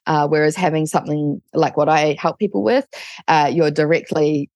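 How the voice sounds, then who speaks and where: A woman's voice: sits low, fairly even intonation, normal speed, darkish tone, dry recording. A woman with a New Zealand accent, chatting casually and informally as an interview guest. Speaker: interview guest, online business coach.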